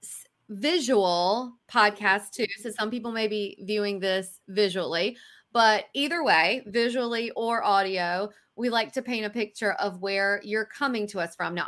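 A woman's voice: medium pitch, normal speed, fairly bright tone. A woman co-hosting a podcast in an enthusiastic, chatty way, introducing a guest on a video call. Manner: enthusiastic, chatty, laughs often. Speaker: podcast co-host. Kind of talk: casual podcast chat. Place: home studio, video call.

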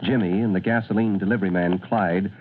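A man's voice: deep voice, steady tone, a little fast. A man narrating a classic radio drama as announcer, grave, theatrical and emphatic. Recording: tinny vintage radio recording.

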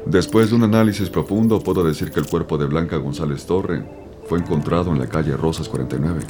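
An actor playing a clinical, matter-of-fact forensic examiner reporting findings in an audio drama. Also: male voice, rumbling low, fast talker, over music. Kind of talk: scripted audio drama dialogue.

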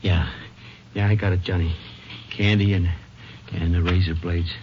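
An actor playing a frightened, cowed prisoner who pleads nervously in a 1950s radio crime drama. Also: male voice, low-pitched, level tone, moderate pace, lightly acted. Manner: nervous, pleading, voice jumping up in fear. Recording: muffled, noisy old radio recording.

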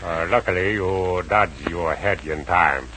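An actor playing a calm, helpful ally in a 1940s radio adventure serial, speaking in a measured way. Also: male voice, low-pitched. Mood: calm, reassuring. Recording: noisy old radio broadcast.

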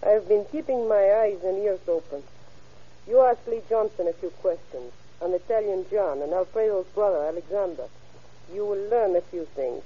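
An actress playing a guarded female informant, speaking in a deliberate, insinuating way in an old radio crime drama. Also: mid-range voice, husky, lightly acted. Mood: knowing. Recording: tinny, narrow-band vintage radio recording.

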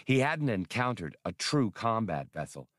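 Male narrator for adventure audiobook: lowish register, scripted storytelling read, tight dry studio sound. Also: big pitch swings, normal speed, a bit bright, no room sound.

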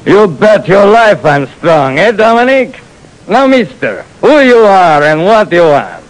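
A man's voice: sits high, big pitch swings, unhurried, noisy background, pitched above the performer's own voice, heavily acted. A man voicing a huge, brawny, boisterous strongman who speaks in broken grammar in an old radio story. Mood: boastful. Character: huge brawny laughing male strongman, blunt.

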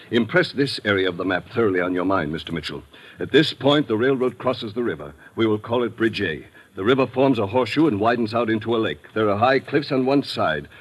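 An actor playing a suave, patronizing army colonel with formal, precise speech in an old radio drama. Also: male voice, mid-range voice, slightly rough. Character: suave military colonel commanding troops, courteous but patronizing. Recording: vintage radio drama recording.

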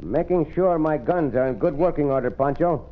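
An actor playing a gallant, commanding Mexican cowboy hero with a Mexican accent in an old radio western. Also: male voice, fairly high pitch, moderate pace, narrow, tinny audio, a little hiss or hum, close to an everyday voice. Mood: resolute, gallant. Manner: courtly, commanding. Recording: thin band-limited old radio recording.

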